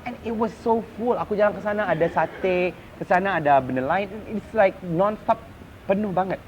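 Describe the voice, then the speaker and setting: Casual interview guest: woman, Malaysian accent, animated and exclamatory, fairly low-pitched, faint noise behind. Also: moderate pace. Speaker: guest, TV host and event MC. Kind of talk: casual chat mixing Indonesian and English. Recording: muffled, noisy ambient background.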